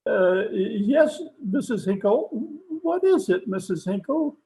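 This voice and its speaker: An actor as a deferential servant in an old radio play. The voice is gravelly and unhurried, with a swooping melody, always formal and apologetic. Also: medium pitch, lively intonation, warm tone, low-quality recording. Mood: polite, puzzled. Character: deferential household servant, polite and bewildered. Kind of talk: old-time radio drama acting.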